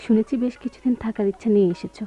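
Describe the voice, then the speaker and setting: A woman acting a fond, apologetic young woman in a radio play, coaxing softly, with sitar behind her on a lo-fi recording. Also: fairly high pitch, fairly even intonation, racing, close to an everyday voice. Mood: coaxing, contrite. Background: sitar music.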